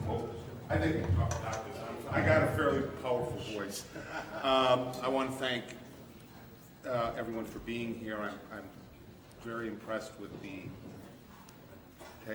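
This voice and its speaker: Man, audience comment, halting rephrasing, roomy echo, background noise. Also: on the low side, steady tone. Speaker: audience member making a comment and question. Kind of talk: extemporaneous question to a panel. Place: large room at a public panel event. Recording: echoey room, distant mic, background noise.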